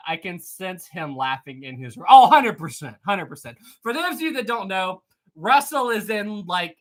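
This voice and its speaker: Playful, animated man in his thirties. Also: high-pitched. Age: 30s. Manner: playful and teasing, laughs often; clears throat often. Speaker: live streamer. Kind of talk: casual livestream chat reading comments.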